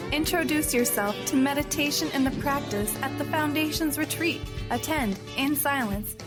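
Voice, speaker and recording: A woman reading a scripted promotional radio spot. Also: high voice. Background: music bed.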